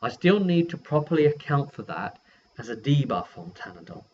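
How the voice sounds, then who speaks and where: Man rambling, slightly low-fi recording. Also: very animated, normal speed, a little mellow. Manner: rambling, thinking aloud. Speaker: tabletop game master. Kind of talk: casual vlog recap of a role-playing session. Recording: dull lo-fi sound with background noise.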